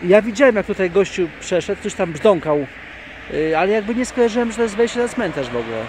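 Guy vlogging his family outing on a phone, a bit high-pitched, dry jokes, lots of outdoor noise. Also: lively intonation, fast talker, darkish tone. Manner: chatty, dry joking asides. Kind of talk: casual vlog narration. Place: outdoors by a car, then a shopping mall. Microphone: handheld phone camera. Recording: noisy outdoor phone recording.

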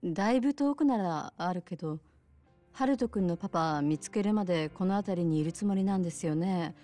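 An actress playing a kind, soft-spoken bar owner with a polite, considerate manner in a video game cutscene. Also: female voice, on the low side, a little fast, soft background music, close to an everyday voice. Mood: gentle, apologetic. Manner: polite, considerate, gently casual.

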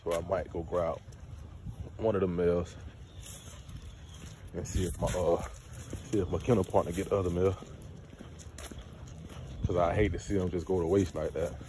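A man's voice: deep voice, fairly even intonation, normal speed, pauses often, warm tone. A young man casually vlogging about dog breeding while walking his dog on a neighborhood sidewalk, recorded on a handheld phone. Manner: laid-back, slangy, talks to his dog between remarks. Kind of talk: casual walk-and-talk vlog. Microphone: phone held while walking a dog. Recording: outdoor phone recording with clear background noise.

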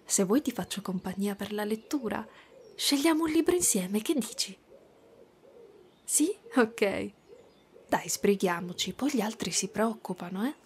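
A woman voicing a gentle, doting spider-woman caretaker in a soft, cooing ASMR roleplay. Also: mid-range voice, animated, a little slow, a little hiss or hum, lightly acted. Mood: tender, consoling. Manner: soft, cooing, tender, sometimes whispering.

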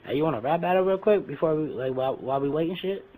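Fast-talking cocky man, noisy voice chat. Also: on the high side, phone-quality sound. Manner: cocky, pushy, heavy slang. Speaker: prank caller. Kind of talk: improvised prank phone call, slangy street talk. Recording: noisy game voice chat with clicks.

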